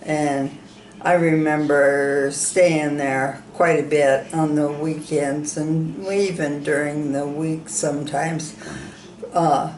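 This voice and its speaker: A woman's voice: low-pitched, a little mellow, reverberant, slight background noise. A woman from South Dakota sharing childhood memories in a family interview, plain-spoken and rambling, recorded in a room of a ranch home. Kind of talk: reminiscing in an interview.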